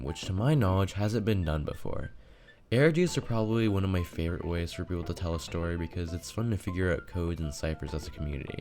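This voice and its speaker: A man with a low voice delivers a scripted video game review. He narrates in video essay style, with music playing behind him. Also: a little mellow.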